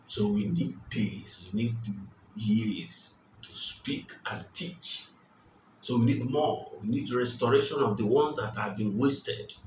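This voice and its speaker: Man, sermon, unhurried, emphatic, repetitive phrasing, roomy reverb, faint background ambience. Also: on the low side, distant mic, slight background noise. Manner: slow and emphatic, repeating key phrases. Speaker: preacher.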